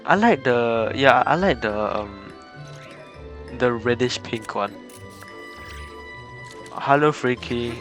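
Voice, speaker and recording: A man's voice: medium pitch, lively intonation, slow pace, pauses often, fairly bright tone, soft background music. A man streaming a video game, chatting casually, laughing, and reacting on the fly. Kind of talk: casual live-stream commentary.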